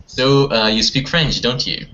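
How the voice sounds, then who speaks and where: A man's voice: medium pitch, unhurried, sharp tone, a little hiss or hum. Lo-fi online teacher, man. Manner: corrects and questions students patiently. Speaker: English teacher. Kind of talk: online class conversation. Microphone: video call. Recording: lo-fi online call audio.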